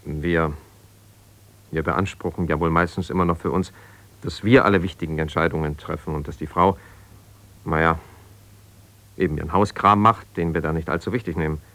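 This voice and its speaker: An actor playing an ambitious, self-justifying lawyer in a German radio drama, speaking haltingly and conversationally as if on the phone. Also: male voice, rumbling low, fairly even intonation, a bit bright. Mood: measured, advising. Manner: conversational, halting, with repeated phrases and pauses.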